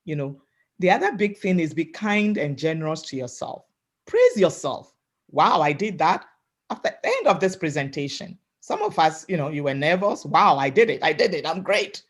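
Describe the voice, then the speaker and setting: A woman from Africa speaking on a webinar panel, giving an emphatic motivational talk in a loud voice over a video call and often asking "right?". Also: low-pitched, sing-song, moderate pace. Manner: loud voice, emphatic, repeats "right?" and "you know".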